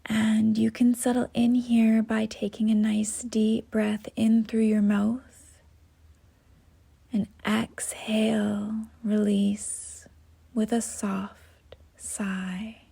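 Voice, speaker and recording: A woman guiding a yoga nidra meditation in a soft, breathy, half-whispered voice, gentle and unhurried with long pauses. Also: fairly high pitch, completely flat delivery. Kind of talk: guided meditation, slow soothing instruction.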